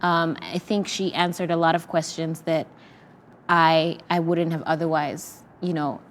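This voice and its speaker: Earnest young woman, low voice, video call. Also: steady tone, unhurried, slight background noise. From Rwanda. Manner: thoughtful, many fillers, warm and earnest. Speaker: actress, the play's lead. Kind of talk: reflective talkback answers.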